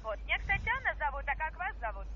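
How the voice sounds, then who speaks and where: A woman giving an insistent sales pitch over the phone, peppering the listener with questions. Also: big pitch swings, quick pace, bright, forceful tone. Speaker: cold-call investment salesperson. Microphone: phone call. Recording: noisy muffled telephone line.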